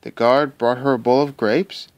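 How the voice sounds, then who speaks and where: A man narrating a fan audio drama in an expressive storybook manner. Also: medium pitch, normal speed, dry recording. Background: loud music.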